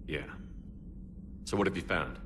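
An actor playing a male starship commander in a video game, speaking in a clipped, calm, commanding way. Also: low-pitched, measured pace, background noise. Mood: steady. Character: male military commander, steady and decisive. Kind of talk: video game cutscene acting.

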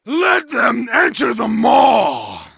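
Casting: savage medieval berserker for a combat game, extremely gravelly, forceful, fearless and feral. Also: male voice, extremely high pitch, wildly swooping pitch, extremely slow, full character voice. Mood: commanding, fierce. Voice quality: gravelly, growling. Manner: archaic phrasing, fierce short barks and battle cries. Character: savage, feral wolf-pack berserker warrior, male, fearless. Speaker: game character voice actor.

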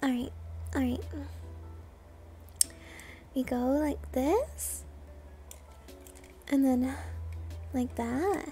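A woman with a high, gentle-toned voice chats casually as she plays a video game on stream. She takes her time between phrases and pokes fun at herself in a playful way. Light music sits behind her. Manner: playful, self-deprecating. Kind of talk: casual gameplay commentary.

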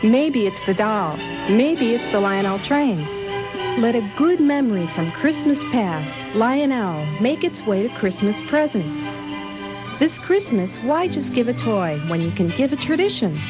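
A woman announces a scripted TV commercial in a fairly high voice. Her pitch moves in lively swings, and clear music plays behind her. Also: a little slow, low-quality recording. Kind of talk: scripted TV ad narration.

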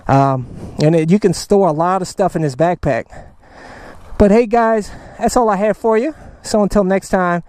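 An enthusiastic man talks straight into a helmet camera from a parking lot, hyping cheap riding gear in a loose, salesy way. His voice sits a little high for a man, and the sound is dry and close with no room around it. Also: normal speed, a little mellow, no echo. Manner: enthusiastic, salesy, slangy with "man" and "baby", many fillers. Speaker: motovlogger reviewing gear. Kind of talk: casual moto vlog review. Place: outdoors in a parking lot beside his motorcycle.

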